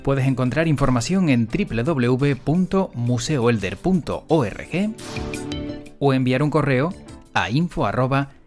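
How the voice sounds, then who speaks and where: A man's voice: mid-range voice, animated, measured pace, a little mellow. Man hosting, faint music behind. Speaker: podcast host. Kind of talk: conversational interviewing and sign-off.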